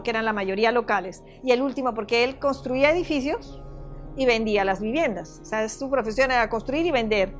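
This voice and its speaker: Older Venezuelan-born woman, interviewee giving personal testimony; fairly high voice, halting delivery, soft music underneath. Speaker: property owner giving testimony.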